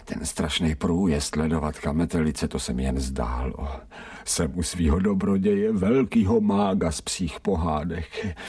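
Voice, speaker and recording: An actor playing a streetwise, greedy stray dog who thinks aloud in slang with grateful, mock-servile relish in a radio play. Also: male voice, very deep voice, steady tone, darkish tone, slightly rough, exaggerated voice. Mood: blissful. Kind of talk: radio play inner monologue.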